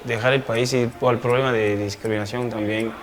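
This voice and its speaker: A man's voice: medium pitch, music in the background. Hesitant man speaking. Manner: hesitant, calm, with pauses and fillers. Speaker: interviewee. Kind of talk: interview answers.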